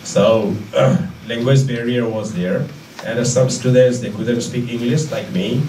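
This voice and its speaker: A man's voice: medium pitch, dark tone. Indian-accented man speaking in an echoey room. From Manipur, India. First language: Manipuri. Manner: halting, searching for words, repeats phrases. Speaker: guest lecturer. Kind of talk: informal public talk with audience questions. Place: indoor lecture room. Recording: echoey room, distant mic, faint noise.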